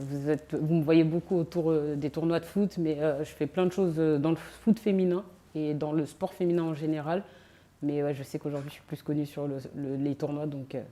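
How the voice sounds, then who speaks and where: Thirties French woman, interview guest: low, mellow voice, relaxed and halting, lots of fillers. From France. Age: 30s. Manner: relaxed, hesitant, many fillers. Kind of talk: casual interview chat. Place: on location in a sports venue.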